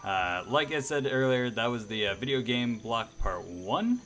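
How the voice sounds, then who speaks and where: A man's voice: mid-range voice, sing-song, measured pace, fairly bright tone, soft background music. A man hosting a radio-style livestream, chatting casually and enthusiastically to viewers in a rambling way.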